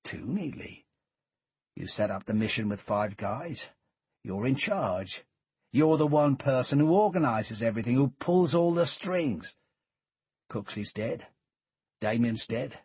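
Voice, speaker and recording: A man voicing a husky, menacing accomplice. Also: expressive, darkish tone, dry recording, heavily acted. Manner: low, threatening, drawn-out delivery. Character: menacing, suspicious man turning on his partner. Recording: dull lo-fi recording.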